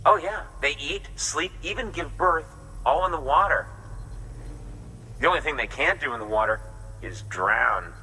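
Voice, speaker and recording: A man's voice: fairly high pitch, expressive, moderate pace, pushed and bright, big-room sound, faint music behind, full character voice, rough recording. An actor playing a goofy, eccentric man in a video game cutscene, hammy and desperate for laughs, chuckling at his own puns. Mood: jokey, eager.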